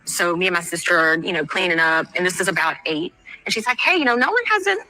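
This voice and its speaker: A woman's voice: on the low side, animated, quick pace, bright, forceful tone, faint music behind, poor audio. A woman giving a casual personal account, telling events step by step in a conversational way. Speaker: interviewee recounting events.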